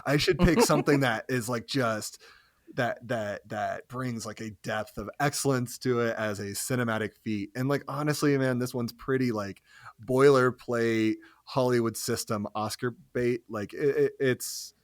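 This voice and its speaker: A man's voice: medium pitch, moderate pace, no room sound, clean studio sound. A man in his late thirties, a guest on a podcast, chatting casually in a wry, joking way and often saying "yeah". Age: 30s. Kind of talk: casual conversational podcast chat.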